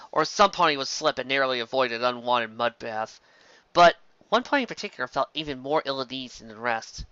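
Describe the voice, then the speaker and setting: Bright, forceful storyteller, dry recording. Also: mid-range voice, clear, resonant tone, no echo, lo-fi sound. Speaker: storyteller reading fan fiction aloud. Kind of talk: dramatic scripted story narration.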